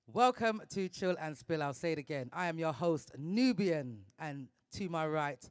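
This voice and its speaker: A man's voice: on the high side, lively intonation, moderate pace, smooth, no echo, studio quality. Upbeat man hosting a live podcast. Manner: upbeat, gives shout-outs, slangy. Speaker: podcast host. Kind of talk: casual live talk show.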